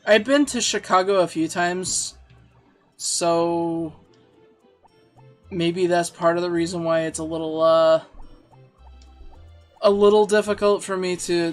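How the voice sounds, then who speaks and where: A man's voice: a little high, fairly even intonation, measured pace, pauses often. A man streaming a game from home, chatting casually and self-deprecatingly, laughing easily, with faint music behind. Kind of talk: casual chat while playing and reading chat.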